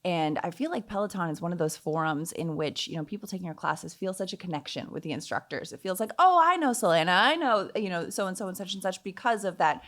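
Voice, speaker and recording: A woman's voice: on the low side, expressive, quick pace, few pauses, a bit bright. A woman hosting an interview podcast in an upbeat, welcoming, conversational presenter style. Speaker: podcast host. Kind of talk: scripted-style intro and friendly interview.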